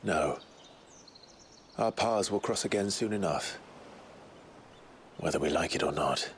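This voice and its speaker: A man playing a brooding, devoted warrior hero in a fantasy game cutscene, with a hushed, breathy, gravelly voice and solemn, earnest delivery. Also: sits low, a little slow, slight background noise. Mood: resolute. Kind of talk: dramatic video game cutscene acting.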